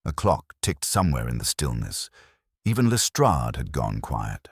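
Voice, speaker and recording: A man's voice: rumbling low, sing-song, normal speed, husky, no room sound, clean studio sound. A man reading a scripted detective mystery as a dramatic audiobook narrator.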